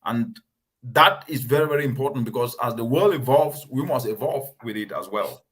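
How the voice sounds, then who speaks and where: A man hosting a talk show, speaking in an earnest, emphatic and measured way. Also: mid-range voice, fairly bright tone. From Cameroon. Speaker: host.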